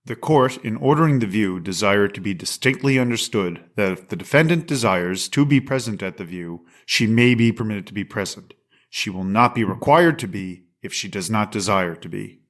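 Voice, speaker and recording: Male actor as presiding judge, low pitch, formal, authoritative, stern, period courtroom drama. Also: moderate pace, natural voice. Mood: grave, instructing. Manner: formal, instructing.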